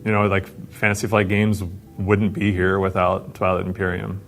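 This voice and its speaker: A man on a game company's staff speaking in a documentary interview, telling stories with animated enthusiasm. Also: low voice, normal speed, faint music behind.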